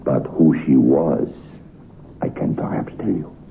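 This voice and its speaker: A grave French waiter with an extremely low, creaky voice, telling a dark tale in an old radio drama. He speaks deliberate, formal broken English with a French accent, on a muffled, slightly hissy vintage recording. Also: full character voice. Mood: grave warning. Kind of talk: old-time radio horror drama acting.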